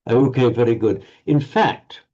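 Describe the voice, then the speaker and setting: A man teaching Sanskrit pronunciation in an online group lesson, halting and restarting words, encouraging his students. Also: mid-range voice, mellow, lo-fi sound.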